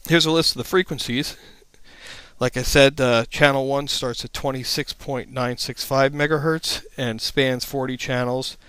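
A man's voice: mid-range voice, steady tone, normal speed, fairly bright tone, clean tone, dry recording. A man narrating an informal slide presentation as an instructor, explaining things in a plain-spoken, matter-of-fact way.

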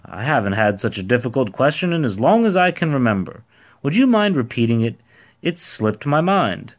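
A man's voice: medium pitch, sing-song, normal speed, voice pushed up. Man playing a proud, emphatic ordinary fellow. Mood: puzzled, pompous. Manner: emphatic, brightly self-important declarations. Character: proud, cheery, impatient ordinary man posing as a giant. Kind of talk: storybook character voice.

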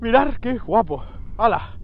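A man in his thirties with a Spanish accent from Spain, giving excited commentary while playing a virtual reality game outdoors, exclaiming and laughing at his viewers. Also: very high voice, unhurried, highs cut off, a little hiss or hum. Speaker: VR gaming youtuber. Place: outdoors on an empty road at dusk. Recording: music under voice. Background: background music.

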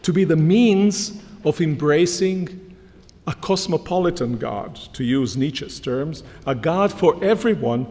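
A middle-aged man giving an academic lecture from a lectern, measured and emphatic. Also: on the high side, warm tone. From Croatia. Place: large lecture hall, standing at a lectern. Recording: long hall reverb from lectern mic.

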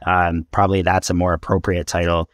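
Casting note: thirtyish man from Canada, lowish voice, dry close sound, hesitant guest on a video call. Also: level tone, a little fast, no echo. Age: 30s. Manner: thoughtful, hesitant, many fillers and restarts. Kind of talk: casual interview talk. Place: home room with shelves of CDs. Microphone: headphones, video call.

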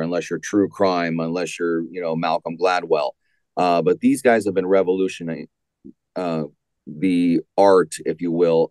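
A man giving a measured, explanatory answer as an interview guest, repeating points for emphasis. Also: sits low, a little mellow, no room sound. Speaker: audio drama writer and interview guest.

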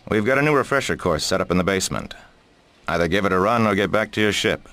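Stern older commanding officer for a game, very deep, authoritative, closely recorded and dry. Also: male voice, animated, fast, a bit bright, no room sound. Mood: curt. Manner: clipped, authoritative. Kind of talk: video game cutscene voice acting.

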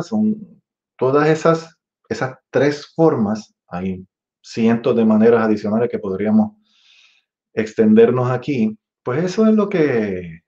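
A man in his forties with a Puerto Rican accent, teaching an online music masterclass in a relaxed, chatty way, singing rhythms with syllables. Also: mid-range voice. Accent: Puerto Rican Spanish. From Puerto Rico. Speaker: trumpet teacher. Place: room with green wall. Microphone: webcam at desk. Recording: dull lo-fi webcam audio.

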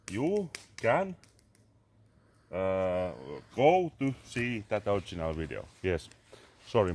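A man in his thirties with a Finnish accent, vlogging in halting English full of fillers and swearing, outdoors in a garden on a handheld phone. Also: lowish voice, a little mellow, a little hiss or hum. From Finland. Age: 30s. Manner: halting, many "uh" fillers, swearing, sipping a drink. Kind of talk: casual rambling selfie vlog. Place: garden among trees, outdoors. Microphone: phone held at arm's length.

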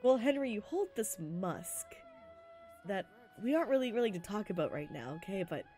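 Woman doing a low, laid-back stoner ladies' man. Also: sing-song, moderate pace, warm tone, faint music behind, natural voice. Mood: smug, calm.

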